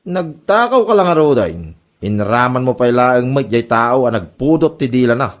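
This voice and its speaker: A man's voice: mid-range voice, big pitch swings, normal speed, close to an everyday voice. A man with an Ilocano accent playing a teasing buddy who chides his friend in a comedy radio drama. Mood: amused chiding.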